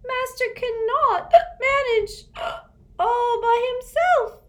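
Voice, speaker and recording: Squeaky drunk sobbing house elf Winky. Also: female voice, drawn-out words, fairly bright tone, exaggerated voice. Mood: drunk, weepy. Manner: squeaky, sobbing, slurred, gasping.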